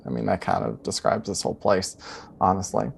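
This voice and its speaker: A man working as game master, narrating a tabletop adventure in a measured, descriptive, improvised way. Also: low voice, big pitch swings, vocal fry, husky.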